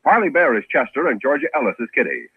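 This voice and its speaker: A man's voice: mid-range voice, big pitch swings, fast talker, breathy edge, no room sound. A man announcing credits in a formal, projected 1950s radio style. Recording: tinny, narrow old radio broadcast.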